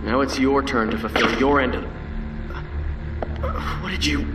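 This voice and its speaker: An actor playing a bitter, defiant schemer in a video game, with an intense, embittered delivery. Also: male voice, mid-range voice, fairly even intonation, measured pace, heavy background noise, close to an everyday voice. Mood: bitter, tense. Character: bitter, betrayed male schemer, desperate and defiant. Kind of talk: dramatic video game acting. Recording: distant, echoey, loud game music.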